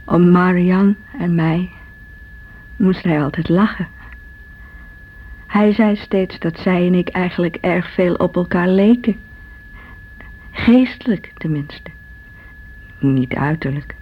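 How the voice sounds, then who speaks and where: A woman's voice: lowish voice, slow pace, pauses often. An actress playing an envious, bitter sister, confiding her brooding recollections in a radio drama. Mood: reflective. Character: Irene, envious, bitter divorced sister, self-doubting. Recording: dull radio recording with faint hum.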